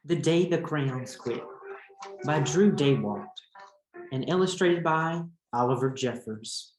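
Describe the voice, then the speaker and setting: A man's voice: a little high, expressive, slow, faint music behind. A man reading a picture book aloud as a teacher, guiding listeners with questions. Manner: instructive, addressing listeners as a group. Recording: roomy echo with clear background noise.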